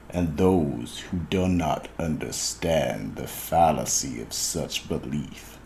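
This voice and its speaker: A man's voice: extremely low pitch, vocal fry, darkish tone, slight background noise, exaggerated voice, low-quality recording. A man playing an ominous, grave narrator of a radio mystery theatre, solemn and theatrical. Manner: solemn, deliberate.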